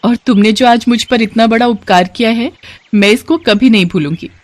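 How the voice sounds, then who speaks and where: Lady doing a nice fairy from a kids story, voice lifted a bit, gentle and explains things kindly. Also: fairly high pitch, moderate pace, no echo, pitched above the performer's own voice, close to an everyday voice. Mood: grateful. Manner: gentle, reassuring, instructive. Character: kind, grateful young female fairy granting wishes.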